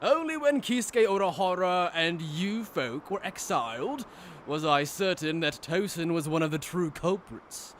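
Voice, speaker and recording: An actor playing a sadistic, condescending male aristocrat villain in an anime fan dub, mocking and drawn-out in delivery. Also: sits high, animated, slight background noise. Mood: smug.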